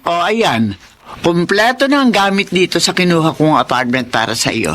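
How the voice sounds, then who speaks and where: A woman's voice: lowish voice, very animated, normal speed, a bit bright. An actress playing a protective, motherly older woman in a Filipino radio drama, with emphatic delivery. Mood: reassuring. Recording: noisy radio drama track.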